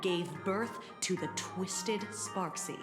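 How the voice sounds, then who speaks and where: An actress playing a composed, clinical government official in a video game, speaking in a measured, formal way. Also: female voice, mid-range voice, reverberant, over music, natural voice. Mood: detached, formal. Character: composed, clinical female official of a sci-fi emergency agency. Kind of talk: scripted video game voice acting.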